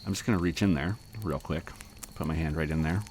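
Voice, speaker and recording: A man co-hosting a comedy podcast, improvising a silly horror story with deadpan running gags. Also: extremely low pitch, brisk, slight background noise. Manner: deadpan, running gag delivery.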